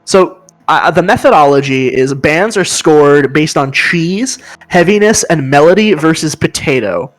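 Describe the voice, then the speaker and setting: A man with a high voice co-hosting a casual podcast chat, explaining with enthusiasm and humming riff sounds. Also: animated, unhurried, hardly any pauses. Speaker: podcast co-host.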